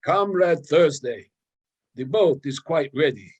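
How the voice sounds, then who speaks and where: A man's voice: a little high, lively intonation, slow pace, slightly rough, exaggerated voice. A man playing a formal, businesslike chairman of an anarchist meeting in an amateur radio play reading over a video call. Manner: formal, procedural.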